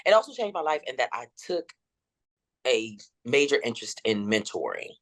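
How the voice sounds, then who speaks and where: A woman in her fifties with a deep, rolling voice, telling her story dramatically as an interview guest. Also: normal speed. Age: 50s. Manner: dramatic and expressive.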